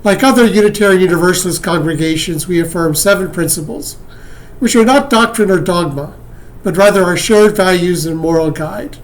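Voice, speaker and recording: High-voiced man welcoming a congregation. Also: a little fast, low-quality recording. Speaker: worship associate. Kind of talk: welcome and announcements.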